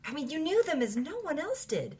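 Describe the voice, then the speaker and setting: A woman's voice: high-pitched, big pitch swings, brisk, a little mellow, slight background noise. An actress playing an eager, admiring woman interviewer in an audio drama. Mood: admiring, curious.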